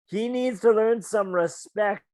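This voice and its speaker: A short-tempered brute of a stepfather, as a woman puts him on growls slow threats. He drags out each word. The sound is dry and close. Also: medium pitch, a little mellow, no echo, close to an everyday voice. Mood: menacing. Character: brutish thug stepfather, bullying, short-tempered. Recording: dull lo-fi home mic.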